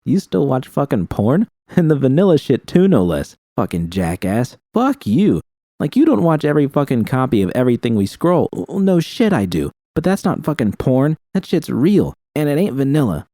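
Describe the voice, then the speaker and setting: A man reading an audiobook acts out a brash male street thug. The voice rides higher than a narrator's, with a dry, close sound. He is foul-mouthed and argumentative, jeering as he talks. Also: very animated, normal speed, dark tone, dry recording. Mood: irritated bickering. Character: crude, argumentative male thugs bickering and bragging. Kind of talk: audiobook character dialogue.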